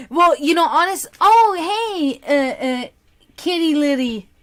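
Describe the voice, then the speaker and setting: A woman's voice: sits high, expressive, takes it slowly. A woman in casual livestream chat, giving tarot readings, rambling and laughing often.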